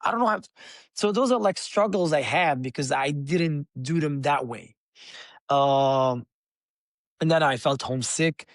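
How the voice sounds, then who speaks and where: A man's voice: a little high, a little slow, no echo, studio quality. A young man from Egypt, a guest on an interview podcast, telling his story in a loose, chatty way with many restarts.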